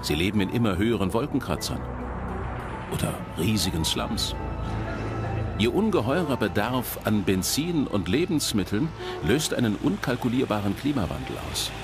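A man's voice: lowish voice, sing-song, moderate pace. A man delivering scripted documentary narration as a presenter, addressing the audience directly. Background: background music.

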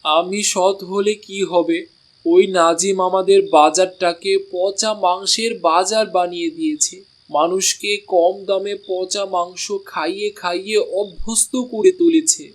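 A man's voice: high-pitched, a little slow. Man voicing an honest village meat seller. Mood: earnest.

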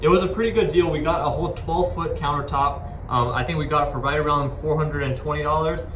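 A man's voice: on the high side, fairly even intonation, fast, fairly bright tone. A man in his twenties talking casually to camera, explaining things informally. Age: 20s. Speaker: vlogger. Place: inside an empty box truck. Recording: echoey metal space, background noise.

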